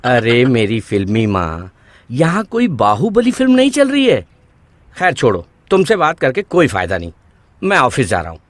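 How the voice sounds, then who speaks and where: Man acting a young grown son, lively swooping pitch, complaining, exaggerated, dry close sound. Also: moderate pace, dry recording. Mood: exasperated. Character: exasperated young adult son wanting marriage. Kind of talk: animated moral story acting.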